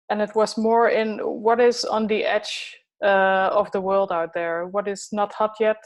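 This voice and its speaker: A middle-aged woman from the Netherlands co-hosts a relaxed podcast conversation. She speaks hesitantly, pausing often as she gathers her thoughts. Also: medium pitch. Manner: hesitant, many fillers and pauses. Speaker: podcast co-host, coach. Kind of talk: casual chat.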